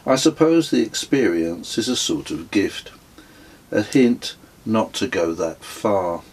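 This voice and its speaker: Middle-aged male author, audiobook narration, unhurried pace, slightly rough husky tone, measured, wry. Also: fairly low pitch, animated, darkish tone. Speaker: author reading own book. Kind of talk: audiobook narration of a travel memoir.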